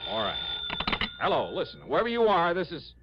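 An actor playing a harried, wry insurance investigator in a radio drama, stammering protests and muttering wearily. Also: male voice, on the high side, huge pitch swings, a little fast, breathy edge, exaggerated voice. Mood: exasperated. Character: harried, exasperated male insurance investigator, wry and soft-hearted. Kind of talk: 1960s radio drama acting. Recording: dull, hissy old radio broadcast.

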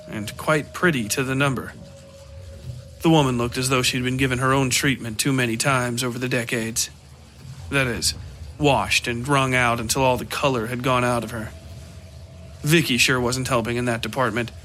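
A man narrating scripted dark fiction for a podcast. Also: mid-range voice.

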